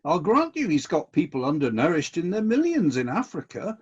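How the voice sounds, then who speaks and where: A husky-voiced, exacting celestial boss played by an actor in a satirical radio comedy. The delivery is measured and politely insistent, with very wide swings in pitch. The recording is slightly dull. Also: huge pitch swings. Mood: politely critical. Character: exacting, fair-minded celestial company boss reviewing complaints. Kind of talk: satirical radio play dialogue.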